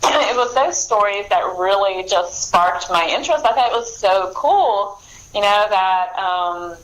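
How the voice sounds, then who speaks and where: A middle-aged woman, an author chatting casually as an interview guest, talkative and quick to laugh. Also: medium pitch, lively intonation, a bit bright, low-quality recording.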